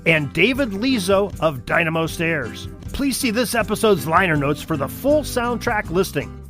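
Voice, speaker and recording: Male announcer, high voice, scripted credits read, old radio style, guitar music behind. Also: expressive, moderate pace, a bit bright.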